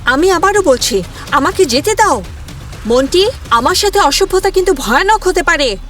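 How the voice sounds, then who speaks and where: A woman's voice: extremely high pitch, expressive, moderate pace, a bit bright, faint music behind, voice pushed up. A woman voicing a young mermaid daughter-in-law in a cartoon, pleading yet defiant.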